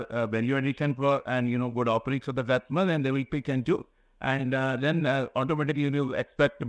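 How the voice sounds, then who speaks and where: A man's voice: mid-range voice, dry recording. Male executive, Indian accent, mid-range voice, formal and wordy, answering on an earnings call, dry sound. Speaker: insurance company executive.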